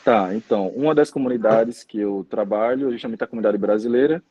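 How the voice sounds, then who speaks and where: Husky young Brazilian priest. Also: male voice, lowish voice, mellow, no echo, dull audio. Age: 20s. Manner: measured, many "né?" tags. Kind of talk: interview answers.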